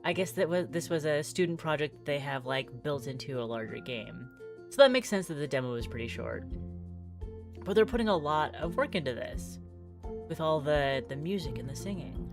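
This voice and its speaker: A woman with a low voice chats casually on a game livestream, with music playing faintly underneath. She thinks out loud in a halting way, circling back on her phrases as she goes. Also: lively intonation. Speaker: game streamer. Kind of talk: casual livestream chat while playing visual novels.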